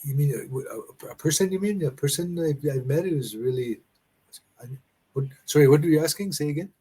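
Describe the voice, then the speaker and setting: Canadian man, husky, loose rambling talker for a casual online language chat. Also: fairly high pitch, brisk, poor audio. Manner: rambling, restarts and laughs, animated storytelling. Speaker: conversation partner. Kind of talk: casual conversation practice. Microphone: video call.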